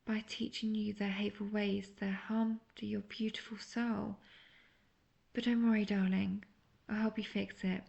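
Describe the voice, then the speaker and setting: A woman playing an obsessive, manipulative witch in an intimate roleplay audio drama, speaking in a breathy, coaxing, often half-whispered way. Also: mid-range voice, a little mellow, lo-fi sound. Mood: seductive, coaxing.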